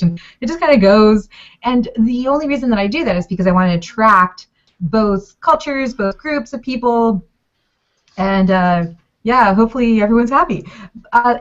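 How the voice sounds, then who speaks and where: A Mexican-born woman, a native Spanish speaker, chatting on a video call as a food blogger, goofy and chatty with lots of laughs and restarts. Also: medium pitch. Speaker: consulting client, food blogger. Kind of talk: casual video call chat. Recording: slightly dull video call audio.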